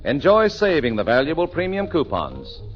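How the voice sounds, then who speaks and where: A man announcing a 1940s radio show with a polished, booming delivery. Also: huge pitch swings, moderate pace, faint music behind. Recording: dull old radio broadcast.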